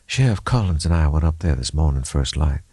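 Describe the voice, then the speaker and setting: Troubled female officer read by a man, gentle, halting, slightly lifted pitch, close dry audiobook sound. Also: lively intonation, husky, no echo, full character voice. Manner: careful, hesitant, groping for words. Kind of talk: audiobook character acting.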